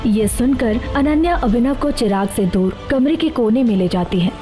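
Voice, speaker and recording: A woman's voice: fairly high pitch, expressive, normal speed, mellow, soft background music. A woman giving scripted dramatic narration for an audio story.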